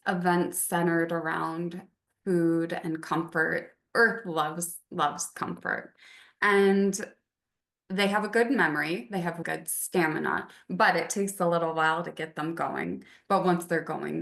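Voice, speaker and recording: Guest, woman, low and gravelly, unhurried, flat monotone. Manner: calm, monotone delivery, as she says herself. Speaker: podcast guest. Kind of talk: casual podcast conversation.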